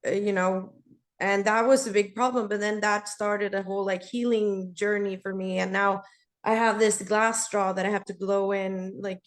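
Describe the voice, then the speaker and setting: Female guest singer, naturally raspy, easygoing and talkative. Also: mid-range voice, flat delivery, normal speed. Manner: laughs easily, rambling and friendly. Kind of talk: casual interview chat.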